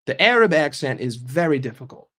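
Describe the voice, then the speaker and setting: A man's voice: medium pitch, big pitch swings, a little fast, bright, forceful tone. A young man streaming, chatting casually with his viewers, joking and swearing freely. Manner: profane, joking, reacting to chat. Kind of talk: casual livestream chat.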